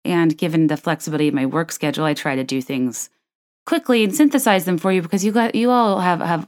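A woman in her thirties hosting a solo podcast, chatting in a wry, rambling way with a low, soft late-night voice. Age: 30s. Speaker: podcast host. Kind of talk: casual solo podcast chat.

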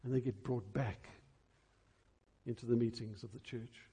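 A man preaching a church sermon in an emphatic, dramatic way, repeating phrases deliberately for effect. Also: mid-range voice, fairly even intonation, warm tone, husky.

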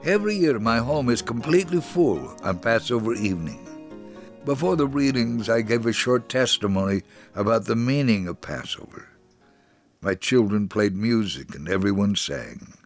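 A man playing a devout Holocaust survivor in a dramatic memoir reading, telling his story in an earnest, measured way. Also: fairly low pitch, animated, soft background music. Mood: sincere, reflective. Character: devout male Holocaust survivor recalling his life, sincere.

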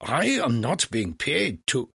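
A man voicing a young musician in a storybook, formal and measured, quietly defiant and wry. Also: medium pitch, sing-song, vocal fry, slightly rough, no echo, clean studio sound, voice pushed up, exaggerated voice. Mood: mock reluctant. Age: young adult. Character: dry young male musician, mock-reluctant, quietly defiant.

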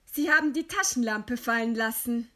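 A woman playing a composed, resigned writer in a radio play, speaking calmly and with formal courtesy. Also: on the high side, normal speed. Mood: calm, commanding. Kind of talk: read radio play dialogue.

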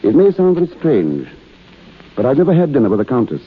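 A man playing an earnest, easily flustered gentleman on vacation in a 1930s radio drama. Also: medium pitch, huge pitch swings, fast talker, slightly rough. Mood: smitten, bashful. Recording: muffled vintage 1930s radio broadcast.

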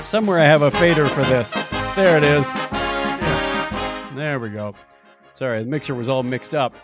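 A man co-hosting a podcast in casual, joking banter, playful and quick with quips and asides. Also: mid-range voice, loud music behind the voice. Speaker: podcast co-host.

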